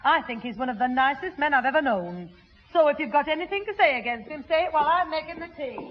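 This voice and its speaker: A woman playing a proud, easily offended mother with a husky voice in a 1960s radio sitcom. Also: high voice, animated. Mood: indignant. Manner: brisk, indignant. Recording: muffled old radio recording.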